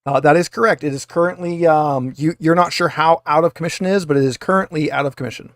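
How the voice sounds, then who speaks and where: A man's voice: a little high, quick pace, no echo. Guy game mastering a tabletop rpg, explains stuff conversationally, pretty dry close sound. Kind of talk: casual tabletop game narration.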